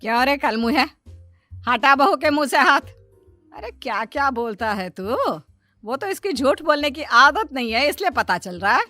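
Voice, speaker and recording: A woman's voice: high voice, animated, normal speed, sharp tone, dry recording, faint music behind. A woman voicing a bossy older village mother-in-law in a story, nagging and exasperated. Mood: scolding.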